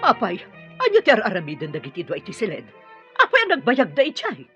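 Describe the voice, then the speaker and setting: A woman's voice: medium pitch, wildly swooping pitch, a little fast, fairly bright tone, dull audio, soft background music. An actress playing a strict, suspicious mother of a grown son in a radio drama, haughty and scolding. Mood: irritated, scolding.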